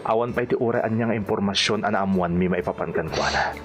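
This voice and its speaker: A man's voice: deep voice, expressive, quick pace. Assured, persuasive radio drama leading man. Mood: satisfied, instructing. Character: confident, solicitous man guiding a devoted female accomplice. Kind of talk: melodramatic radio drama acting. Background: background music.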